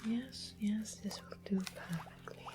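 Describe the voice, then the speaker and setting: Woman acting a slow, whispering, sly witch. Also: mid-range voice, close to an everyday voice. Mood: sly, businesslike. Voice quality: breathy whisper. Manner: coaxing, unhurried, softly menacing politeness. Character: sweet-voiced, sly fantasy witch with sinister hospitality. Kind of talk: whispered ASMR roleplay. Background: music and ambient sound.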